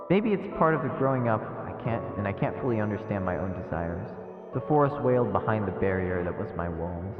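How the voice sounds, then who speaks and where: A man voicing an anxious child narrator of a horror story, reading in a measured, foreboding way with reverb. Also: lowish voice, pitched below the performer's own voice. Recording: echoey reverb, dull muffled sound. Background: game music.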